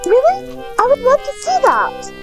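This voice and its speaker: Casting: woman as sweet, selfless schoolgirl for anime radio drama; very high, animated delivery, music underneath. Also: unhurried, full character voice. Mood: fascinated. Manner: polite, earnest, eager. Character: cheerful, selfless, earnest teenage girl. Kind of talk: amateur anime-style radio drama acting.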